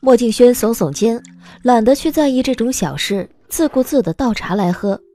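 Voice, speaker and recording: A woman narrating a scripted audio drama in an expressive storytelling manner. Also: fairly high pitch, moderate pace. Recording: music bed behind the voice.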